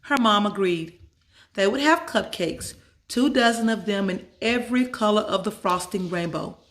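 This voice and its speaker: A woman narrates a children's story in a medium-pitched voice. She reads as a storyteller, phrasing each sentence with care and leaning on key words for dramatic emphasis. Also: moderate pace. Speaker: storyteller reading a children's book aloud. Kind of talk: expressive scripted story narration.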